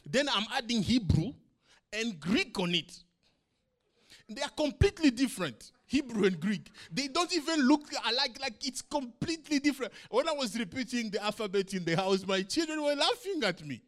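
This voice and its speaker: A middle-aged man, a pastor whose first language is not English, preaching a sermon to his church with emphatic rhetorical questions, swinging between loud and soft. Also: very high voice, sing-song, normal speed.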